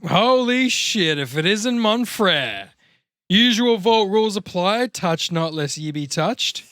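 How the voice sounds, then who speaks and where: A man's voice: sits high, lively intonation, slow, a bit bright, no room sound, studio quality, full character voice. A man acting a brash, sarcastic slacker geek. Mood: mock-grand sarcasm. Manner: exaggerated, sarcastic delivery. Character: sarcastic, crude, slacker male comic-book geek. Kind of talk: overacted comedy script read.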